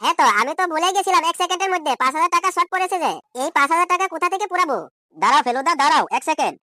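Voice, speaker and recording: A man voicing an exasperated, scolding ordinary village man in an amateur comedy cartoon, repeating questions indignantly through a pitch-shift effect. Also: very fast, sharp tone, no echo, clean studio sound, full character voice. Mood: worried.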